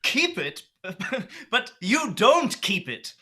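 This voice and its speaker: A cheerful, earnest nephew played by a man in a radio drama. His voice is very bright and high for a man, with slow, swooping, theatrical phrasing. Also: big pitch swings, a little hiss or hum, heavily acted. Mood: teasing, cheerful. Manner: earnest, declamatory, emphatic. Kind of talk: theatrical radio play acting.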